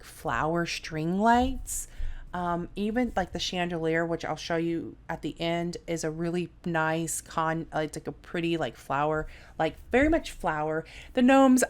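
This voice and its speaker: A woman with a slightly low-pitched voice talks through a game review in a casual, off-the-cuff way. She rambles, circling back on words and leaning often on "like" as she goes. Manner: rambling, with frequent "like" and repeated words. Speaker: gaming video creator, reviewer. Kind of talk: casual unscripted review commentary over gameplay.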